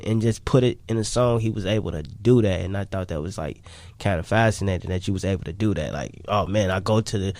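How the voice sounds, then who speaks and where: A man's voice: low voice, quick pace, darkish tone, no room sound. A man chatting casually as a musician guest on a radio interview, slangy and repeating himself. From Chicago, United States. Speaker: musician guest, rapper and songwriter. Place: radio studio.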